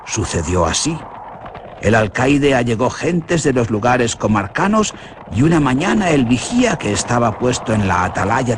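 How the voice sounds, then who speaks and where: A man with a Spanish accent from Spain, narrating a legend for a radio drama in an expressive, theatrical way. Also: mid-range voice, noisy background. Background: music.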